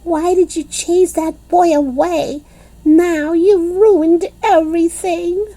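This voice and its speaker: A woman's voice: very high-pitched, fairly even intonation, takes it slowly, mellow, voice pushed up, low-quality recording. A woman voicing a spoiled, bossy little schoolgirl who hollers and whines. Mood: angry. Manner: whiny, hollering, demanding.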